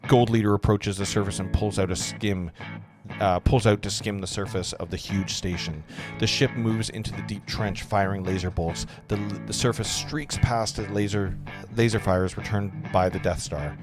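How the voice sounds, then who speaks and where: A man's voice: on the low side, fairly even intonation, normal speed, music in the background. A man narrating a script's stage directions at an informal table read, stumbling over words now and then. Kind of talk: reading screenplay stage directions aloud.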